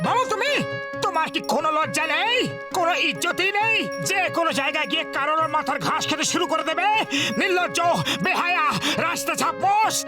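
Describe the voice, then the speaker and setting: An actor voicing an excitable, scolding comic man in a children's cartoon, with exaggerated delivery. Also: very high voice, a little fast. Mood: indignant. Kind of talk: dubbed children's comedy cartoon acting. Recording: music behind the voice.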